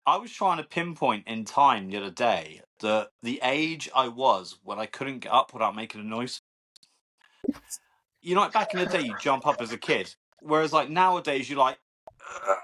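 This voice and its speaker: A man, joking around as a podcast co-host in casual chat. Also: mid-range voice. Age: 40s. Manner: joking, animated, swearing.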